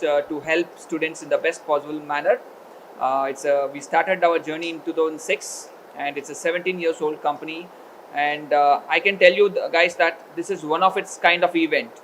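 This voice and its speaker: Man, high voice, formal event speaker, hesitant delivery, faint background hiss. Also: steady tone, fairly bright tone, rough recording. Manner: hesitant, many filler pauses. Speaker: education consultancy representative. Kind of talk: formal welcome speech at a college event.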